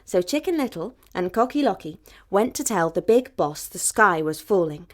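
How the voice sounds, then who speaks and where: Animated woman telling a children's fairy tale. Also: medium pitch, moderate pace. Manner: expressive, rhythmic repetition. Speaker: storyteller.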